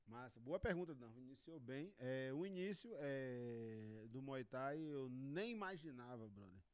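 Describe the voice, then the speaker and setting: A man from Bahia with a Bahian accent, a martial arts master and podcast guest, talking in a relaxed, friendly way with a lot of fillers. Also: mid-range voice, measured pace, clean tone. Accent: Bahian Portuguese. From Bahia, Brazil. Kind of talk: podcast interview. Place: studio.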